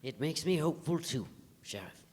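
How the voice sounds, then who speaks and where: An actor playing a melancholy, solemn detective's sidekick in a live staged play reading. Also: male voice, fairly high pitch, lively intonation, normal speed, a little mellow, a little hiss or hum, lightly acted. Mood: dreamy, solemn. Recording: echoey, distant live theatre recording.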